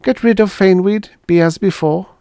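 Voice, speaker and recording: A man's voice: a little high, very animated, moderate pace, mellow, no echo, studio quality, pitched above the performer's own voice. A man voicing a broken-English Venusian woman. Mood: sad. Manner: broken English, drops small words. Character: alien woman from Venus, simple and earnest.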